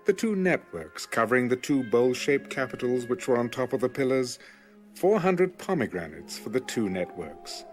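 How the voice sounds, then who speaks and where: A man reading scripture as scripted audiobook narration, measured and clearly enunciated. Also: mid-range voice. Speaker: Bible narrator.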